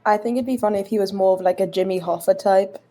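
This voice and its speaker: A woman's voice: medium pitch, steady tone, fast, warm tone. A woman chatting casually as a tabletop game player, informal and peppering her sentences with "like". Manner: informal, heavy use of "like". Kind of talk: casual chat.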